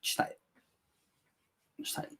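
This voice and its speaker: A man's voice: takes it slowly, bright, forceful tone. A man with a Scouse accent hosting a live movie watch-along, chatting casually and joking as he answers viewer comments. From England. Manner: jokey, chatty, reacting to the film and reading viewer comments. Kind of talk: casual live-stream chat.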